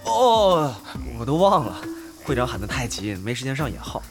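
An actor playing a naive, easily impressed gamer underling in an audio drama, artless and eager. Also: male voice, medium pitch, very animated, moderate pace, over music.

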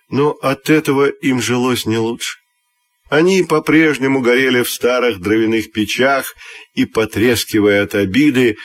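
Low-voiced man telling a children's tale. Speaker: narrator of a children's audio fairy tale. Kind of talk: scripted storytelling for children.

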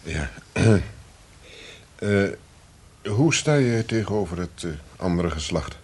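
An actor in a radio play as a hesitant, deferential male deputy interviewer, husky, halting and awkwardly polite. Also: low voice, animated, exaggerated voice. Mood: awkward probing. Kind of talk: radio drama acting.

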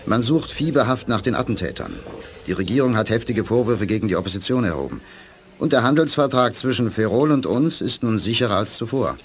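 A man's voice: mid-range voice, lively intonation, a little fast, slight background noise, close to an everyday voice. An actor playing a loyal male second-in-command spaceman in an audio drama, reporting in a matter-of-fact way. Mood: keen, businesslike. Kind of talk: 1980s German audio drama acting.

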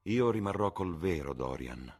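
A man voicing an earnest, melancholy painter in an audiobook, quiet and pleading, with a husky voice. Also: deep voice, lively intonation, unhurried, exaggerated voice. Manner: grumbling, sad, pleading. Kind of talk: audiobook character voice.

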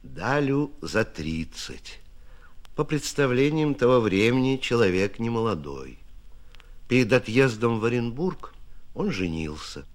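A man reading scripted biographical narration for radio, with a declarative, deliberate storytelling delivery. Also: mid-range voice, big pitch swings.

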